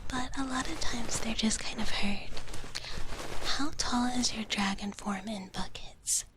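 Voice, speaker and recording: A woman in a gentle dragon big-sister persona whispers softly and breathily close to the mic, chatting casually and cutely as an ASMR livestream host. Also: on the high side, slight background noise, rough recording. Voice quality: soft, breathy, mostly unvoiced whisper. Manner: slow, cutesy, gentle, refers to herself in third person. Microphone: close ASMR microphone.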